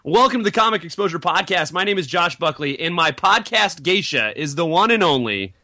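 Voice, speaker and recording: A male podcast host opens the show with a bright, forceful tone and a rather high voice. His delivery is jokey and upbeat, recorded dry and close to the mic. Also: animated, fairly quick, no room sound. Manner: jokey, upbeat, laughs often. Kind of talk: casual podcast chat.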